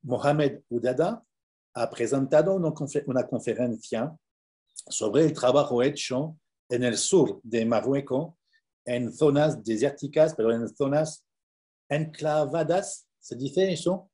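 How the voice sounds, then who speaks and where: A man with a French accent speaking Spanish as a conference presenter on a video call, halting with many repeated words and fillers. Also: medium pitch, mellow. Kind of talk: academic Q&A over video call.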